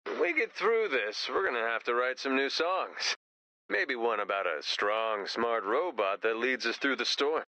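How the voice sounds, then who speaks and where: A man's voice: medium pitch, animated, measured pace, pushed and bright, low-quality recording. A man voicing a spacey, laid-back rock musician with a rambling, goofy manner in a video game. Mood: eager. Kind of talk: video game character dialogue.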